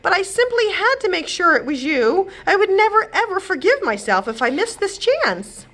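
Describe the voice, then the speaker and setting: Young fairy-tale princess, acted by a woman: eager, polite, effusive, pitched high. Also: big pitch swings, moderate pace, a bit bright, exaggerated voice. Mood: gushing. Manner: effusive, gushing.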